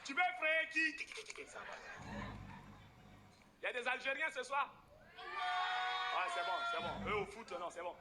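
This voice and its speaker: Male stand-up comedian, very high voice, swooping delivery, teases the crowd, noisy live stage sound. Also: unhurried, pauses often. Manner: animated, exclamatory, teasing the crowd. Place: stage in a venue with an audience.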